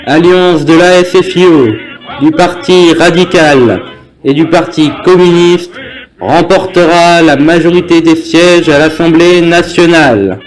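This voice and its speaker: A man's voice: on the high side, low-quality recording. A young man reads a scripted newsreel narration in a formal manner. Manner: formal, reading aloud. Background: music.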